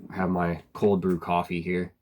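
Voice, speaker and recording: A man with a slightly hoarse voice from a cold, chatting casually in a book review vlog, rambling with many fillers and clearing his throat now and then. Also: low voice, normal speed. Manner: relaxed, rambling, frequent "like" and "um", clears throat often.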